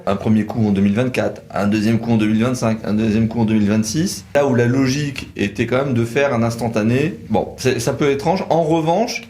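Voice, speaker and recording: A man's voice: mid-range voice, darkish tone. A man presenting a conversational legal explainer as a lawyer, reasoning methodically and setting out nuances point by point.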